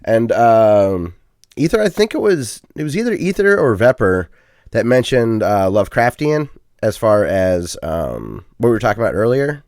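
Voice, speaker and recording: Lively, rambling male podcast host. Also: on the low side, normal speed, no room sound, studio quality. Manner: rambling, lots of ums and uhs; self-deprecating, chatty. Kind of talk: casual podcast chat.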